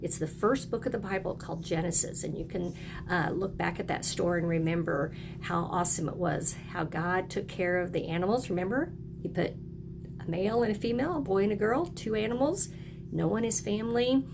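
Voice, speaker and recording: A woman's voice: on the low side, brisk, vocal fry, background noise. A woman teacher leads a read-aloud lesson for young children, encouraging them and prompting them with questions and repeats.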